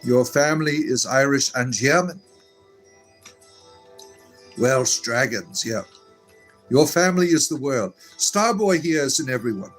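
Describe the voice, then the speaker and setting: A man's voice: mid-range voice, expressive, unhurried, pauses often, faint music behind, poor audio. A man hosting a live stream, reading viewer comments aloud and answering them in a meandering, chatty way. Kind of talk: casual live-stream chat.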